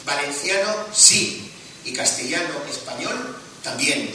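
A man addresses a council session as mayor, arguing in a combative, emphatic way. His bright, slightly high voice carries far from the mic. The chamber echo is heavy. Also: expressive, measured pace, a little hiss or hum. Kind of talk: council debate speech. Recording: echoey council chamber from afar.

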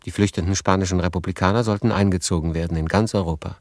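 An actor playing a sober, reflective refugee man who narrates his own story in a measured, literary radio drama style. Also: sits low, fairly even intonation, a little mellow, no echo, clean studio sound, close to an everyday voice. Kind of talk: scripted first-person radio drama narration.

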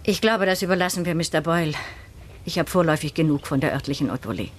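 A composed, shrewd spinster in a radio drama. Her low voice is formal and measured, and she questions people with cool precision. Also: animated, slight background noise. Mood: calm, kindly. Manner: formal, measured, coolly probing. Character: composed, proper, shrewd older spinster investigating. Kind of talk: German radio play acting.

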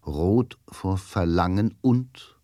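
An actor narrating a radio drama as a grave, literary storyteller with a solemn, dramatic manner. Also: male voice, sits low, takes it slowly, warm tone, no room sound, studio quality. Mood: intense. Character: grave, literary male narrator of a crime tale.

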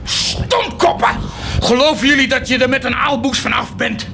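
An actor playing a fiery, indignant preacher in a theatrical radio play, declaiming with passion against the sale of indulgences. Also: male voice, very high-pitched, moderate pace, gravelly, over music. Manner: declamatory, impassioned. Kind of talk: theatrical radio drama acting. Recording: noisy radio play recording.

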